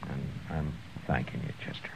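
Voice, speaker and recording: An actor playing a tough, world-weary frontier marshal, gruff and terse, in a 1950s radio western. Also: male voice, normal speed, noisy background, lightly acted. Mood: gruffly fond. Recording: muffled 1950s radio recording with music.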